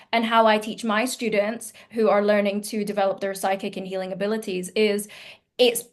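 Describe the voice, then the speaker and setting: A woman in her thirties hosting an interview on a video call, talking in an effusive, emphatic, conversational way. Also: fairly high pitch. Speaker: podcast host, healing teacher. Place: room at home, indoors, video call.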